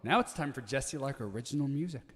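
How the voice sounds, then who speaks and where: A man co-hosting a music livestream from home, chatting in a relaxed, easygoing way that often trails off. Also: medium pitch, fast. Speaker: duo partner and co-host. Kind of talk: casual chat between songs. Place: home studio set. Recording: echoey room with long reverb.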